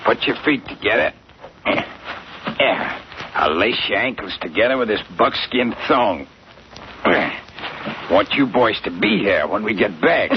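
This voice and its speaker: Gunman heavy, 1940s radio thriller: deep-ish, gruff, bossy and sneering; dull vintage sound. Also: very animated, a little slow, long pauses. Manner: tough, taunting, folksy slangy talk. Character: gruff bullying gunman henchman, mocking and menacing. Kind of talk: 1940s radio adventure acting. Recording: muffled, noisy vintage radio recording.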